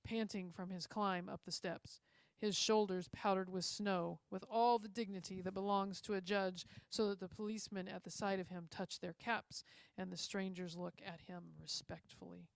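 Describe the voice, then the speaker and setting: A woman's voice: medium pitch, steady tone, moderate pace, gravelly, dry recording. Woman reading a story aloud, dry sound. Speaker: story reader. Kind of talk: expressive read-aloud Christmas storytelling.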